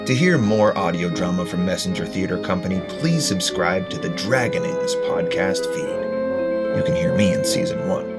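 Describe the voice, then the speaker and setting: A man's voice: low-pitched, normal speed. A man reading scripted end credits for an audio drama as an announcer. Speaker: credits reader. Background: loud guitar music.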